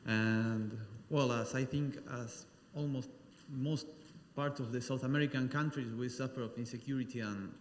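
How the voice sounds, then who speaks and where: Halting man from Argentina, roomy. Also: mid-range voice, steady tone, slight background noise. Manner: halting, with restarts. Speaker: panel speaker. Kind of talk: conference testimonial. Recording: roomy hall with faint ambience.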